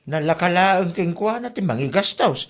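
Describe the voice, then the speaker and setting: A man's voice: sits high, lively intonation, moderate pace. Village uncle male actor, disapproving, Filipino Ilocano accent, comedy radio drama. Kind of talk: comedy drama podcast acting.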